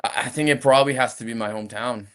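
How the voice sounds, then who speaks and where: A man's voice: mid-range voice, rapid-fire. A man from France with a light French accent, an actor talking casually in an interview with frequent restarts. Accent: French, softened by years abroad. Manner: relaxed, hesitant, many restarts. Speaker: actor guest.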